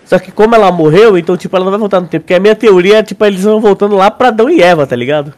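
Male podcast co-host, young, Brazilian accent, high register, informal and slangy delivery. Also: very fast, a little mellow. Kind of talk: casual chat.

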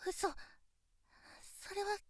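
A woman's voice: very slow, no room sound. A voice actress playing a shy, sweet teenage girlfriend who stammers when flustered, in a close-miked situation voice drama.

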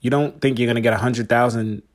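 Man, forties, podcast host, casual slangy monologue, mid pitch. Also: fairly even intonation, quick pace. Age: 40s. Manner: laid-back, slangy, talks straight to listeners.

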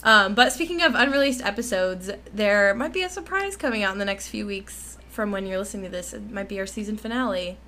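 A woman's voice: mid-range voice, fairly quick, hardly any pauses, bright, forceful tone, slight background noise. A young woman co-hosting a podcast, chatting casually with fillers, restarts and frequent laughs. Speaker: podcast co-host. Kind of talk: casual chat.

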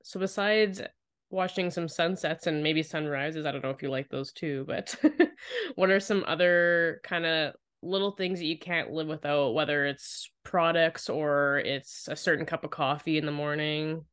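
A woman's voice: deep voice, moderate pace, fairly bright tone, smooth. A woman hosting a casual podcast interview, chatty and upbeat, laughing a lot as she asks questions. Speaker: podcast host.

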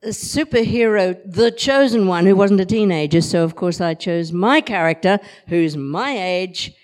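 An older woman, a voice coach, introducing herself at a live event in a deliberate, theatrical way. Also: mid-range voice, expressive, a little mellow. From Australia. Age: older, 60s or above. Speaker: voice coach and podcaster. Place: live podcast festival.

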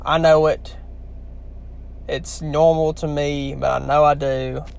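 A man's voice: fairly high pitch, little pitch movement, takes it slowly, vocal fry, darkish tone, smooth. Chatty young man, heavy Louisiana drawl. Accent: heavy Southern US, Louisiana. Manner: chatty, rambling, talks straight to viewers. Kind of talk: casual talk to camera.

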